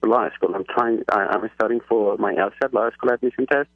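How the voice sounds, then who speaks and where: Eager caller from Iran on a phone line. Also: male voice, on the low side, fairly even intonation, no echo. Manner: halting, eager, many restarts. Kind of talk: phone-in call. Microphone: telephone. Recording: husky tinny phone line.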